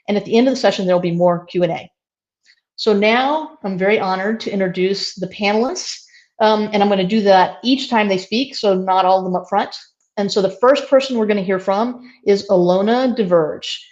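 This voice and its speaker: A woman's voice: medium pitch, darkish tone, lo-fi sound. Woman moderating an online panel. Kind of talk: scripted panel introduction.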